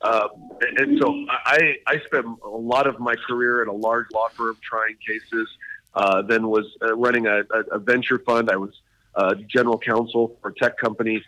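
A middle-aged man from Hawaii and Southern California, a state attorney general speaking as a radio interview guest, halting with many fillers and restarts. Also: medium pitch, fairly even intonation, bright, forceful tone, dry recording, low-quality recording.

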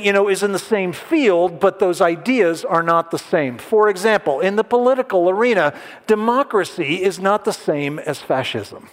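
A pastor giving a conversational sermon, asking the audience questions and making light jokes. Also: male voice, high voice. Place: large hall with an audience. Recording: long hall reverb.

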